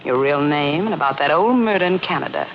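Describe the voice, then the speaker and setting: An actress playing a jealous, scheming mystery novelist who narrates an old-time radio thriller with melodramatic intensity and dramatic pauses. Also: female voice, deep voice, lively intonation, noisy background. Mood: coolly scheming. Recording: muffled old radio recording, loud music.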